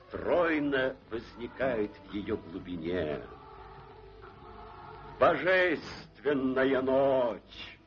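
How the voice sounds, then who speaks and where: An actor as a solemn narrator of an old cartoon, declaiming poetic prose reverently with long pauses. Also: male voice, medium pitch. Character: solemn, rapturous male storyteller. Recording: dull old film soundtrack.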